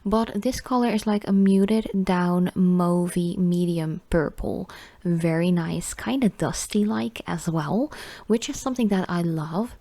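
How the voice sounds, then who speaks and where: A woman's voice: on the low side, a little slow, warm tone, no room sound. A young woman with a Dutch accent, chatting casually with many fillers as she reviews nail polish. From Netherlands.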